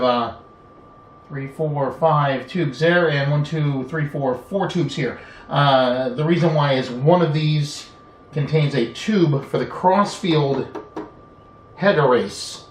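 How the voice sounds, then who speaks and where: In an echoey room with a faint bed of noise, a man walks through a hands-on repair without a script. He thinks aloud as he goes, unhurried, often pausing and letting phrases trail before picking them up again. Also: mid-range voice. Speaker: hobbyist video presenter. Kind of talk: unscripted hands-on repair walkthrough.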